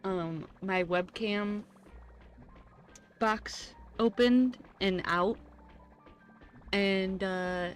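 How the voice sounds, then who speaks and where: A woman streamer chats casually as she plays a story game. Her pitch is ordinary and her pace slow, with informal, giggly asides and soft game music behind her. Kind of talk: casual chatty livestream commentary. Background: game music and character voices.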